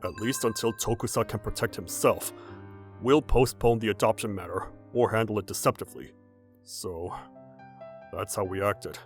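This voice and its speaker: A man playing a calm, practical, protective middle-aged father in a manga dub, explaining gravely in measured phrases. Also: on the low side, soft background music, lightly acted. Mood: grave, explanatory. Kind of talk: anime-style manga dub acting.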